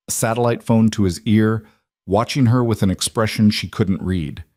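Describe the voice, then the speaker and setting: A man reads a dramatic story in a low, steady voice. The sound is dry and close. His delivery stays measured and even. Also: no room sound. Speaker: narrator. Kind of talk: scripted story narration.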